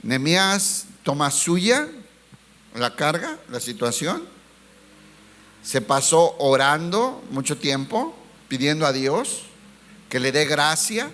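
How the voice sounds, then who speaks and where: A pastor with a voice pitched a little above the usual for a man delivers a sermon. He keeps throwing rhetorical questions at his congregation and calls them brothers. He drags out key words to stress them, then rushes through the lines in between. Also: big pitch swings, fairly bright tone. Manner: preachy, rhetorical questions, addresses listeners as brothers.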